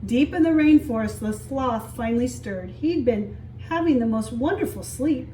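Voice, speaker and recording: A woman reading a picture book aloud as a story time teacher, expressively, pausing to explain and ask young children simple questions. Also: fairly high pitch, expressive, dark tone. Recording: echoey room, distant mic, faint hiss.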